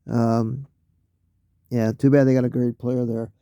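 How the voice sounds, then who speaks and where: A man losing his voice, talking casually through a solo mock draft, halting with fillers and often clearing his throat. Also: medium pitch, little pitch movement, warm tone, no room sound, highs cut off.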